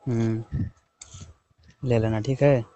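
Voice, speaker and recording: A man's voice: mid-range voice, fairly even intonation, takes it slowly, dark tone, muffled recording. A young man from the of India, chatting casually with his wife, teasing and coaxing. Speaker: husband working away from home. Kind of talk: casual phone chat.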